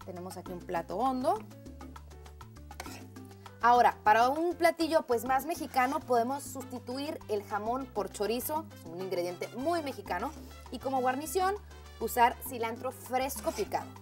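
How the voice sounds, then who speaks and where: A woman's voice: a little high, expressive, normal speed, faint music behind. A woman hosting a cooking show, walking viewers through a recipe step by step in an upbeat, friendly way, calling them friends. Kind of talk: scripted cooking demonstration.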